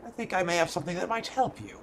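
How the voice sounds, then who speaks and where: A man's voice: high-pitched, animated, very fast, noisy background, voice pushed up. A man voicing an eccentric, courtly alien desert traveler in an amateur audio play, muttering absent-mindedly and theatrically over music. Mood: flustered, jovial. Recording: loud music bed behind voice.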